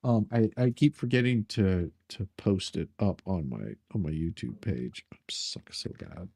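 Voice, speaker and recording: A man's voice: sits low, lively intonation, measured pace, gravelly, a little mellow, breathy edge, dry recording. A man hosting a live stream in casual, joking chat with a teasing, sarcastic manner.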